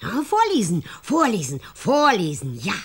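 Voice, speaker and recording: An actress voicing a newly hatched tiny granny, childlike and curious, parroting words in a naive way for a children's radio drama. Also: female voice, mid-range voice, huge pitch swings, moderate pace, slightly rough, exaggerated voice. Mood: eager, naive.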